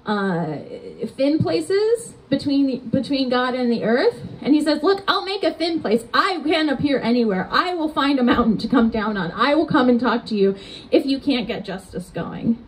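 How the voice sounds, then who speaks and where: High-voiced woman preaching outdoors. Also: normal speed, slight background noise, poor audio. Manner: conversational, asks the congregation rhetorical questions; animated hand gestures while preaching. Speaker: pastor. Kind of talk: outdoor sermon. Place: outdoors by a church entrance. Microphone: mic on a stand.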